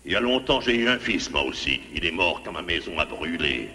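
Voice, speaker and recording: An older cartoon wild boar, lonely and kind. His mid-pitched voice rises and falls expressively with melancholy reserve, in long reverb over clear music. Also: very animated, brisk, bright, forceful tone, heavily acted. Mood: sad, withdrawn. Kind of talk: cartoon dub acting. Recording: reverberant track under music.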